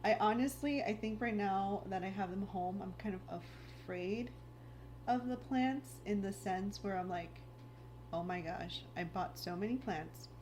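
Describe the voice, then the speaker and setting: A woman in her thirties chatting casually at home in a rambling vlog, full of "like" fillers, her throat a little raspy. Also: medium pitch, a little slow, a little mellow, a little hiss or hum, low-quality recording. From United States. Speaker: lifestyle vlogger. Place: home, indoors.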